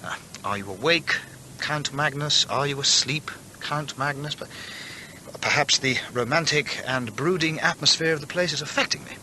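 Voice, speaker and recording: An actor playing a curious, bookish English gentleman antiquarian in a radio drama, musing hesitantly in old-fashioned literary language. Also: fairly high pitch, normal speed, big-room sound, a little hiss or hum, low-quality recording. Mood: brooding, entranced.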